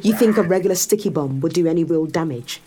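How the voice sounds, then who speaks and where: A woman's voice: low-pitched, fast talker, background noise, close to an everyday voice. An actress playing a cold, menacing woman interrogator in a science fiction audio drama, controlled and taunting as she lays out her case. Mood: accusing, controlled. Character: cold, menacing woman interrogator in a near-apocalypse sci-fi world.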